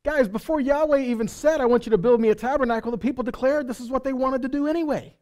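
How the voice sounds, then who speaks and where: A man's voice: extremely high pitch, quick pace, darkish tone. A middle-aged man teaching a live sermon in a conversational, emphatic way, speaking into a headset mic. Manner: conversational, checking in with listeners. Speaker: preacher and Bible teacher. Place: indoor stage in a meeting room or hall. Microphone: headset microphone.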